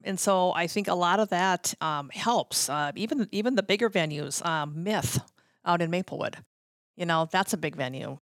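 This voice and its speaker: A middle-aged American woman reminiscing casually in a chatty, halting way that often trails off. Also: fairly low pitch, dry recording, clean studio sound. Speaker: guest recounting concert backstage stories.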